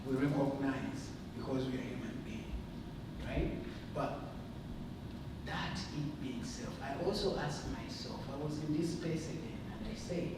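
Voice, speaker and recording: A man's voice: medium pitch, a little slow. A man giving an impassioned talk at a community forum, emphatic and repetitive, often posing rhetorical questions. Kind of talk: impassioned public speaking to a group. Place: large indoor arts venue. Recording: noisy, echoey hall recorded from far off.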